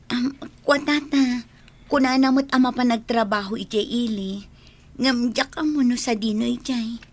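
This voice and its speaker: A woman's voice: on the high side, moderate pace. An actress playing a friendly young village woman in a radio drama, with an Ilocano accent. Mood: puzzled. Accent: Ilocano, northern Philippines.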